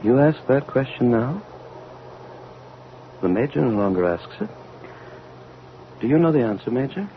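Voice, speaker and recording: An actor playing an older, despairing, remorseful doctor of science in halting, oratorical 1940s radio drama style. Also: male voice, mid-range voice, animated, lightly acted. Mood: resigned. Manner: halting, repeats himself, oratorical. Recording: noisy, phone-like vintage radio recording.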